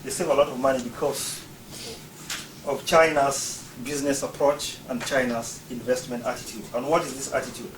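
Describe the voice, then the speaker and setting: A man's voice: medium pitch, fairly even intonation, normal speed, background noise. A man from Uganda giving a prepared talk, explaining his points one by one in order. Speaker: speaker. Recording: roomy, distant mic, faint ambience.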